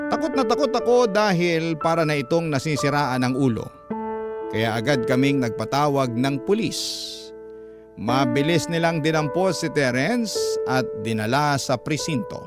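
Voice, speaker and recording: A man working as a radio host, dramatically reading out a listener's letter as a story. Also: medium pitch. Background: prominent music.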